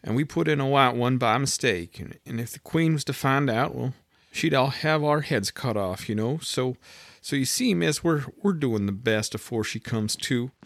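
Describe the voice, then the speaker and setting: A man voicing nervous, quarrelsome playing-card gardeners in an audiobook, with rough working-class speech. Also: mid-range voice, animated, normal speed, dry recording, studio quality, voice pushed up. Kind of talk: audiobook character voices.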